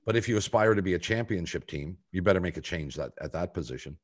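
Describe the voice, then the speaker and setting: A man in his sixties hosting a sports talk show, speaking in a deliberate, halting way over a video call. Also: sits low, expressive. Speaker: podcast host. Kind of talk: sports talk, moderating a panel. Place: home office, video call.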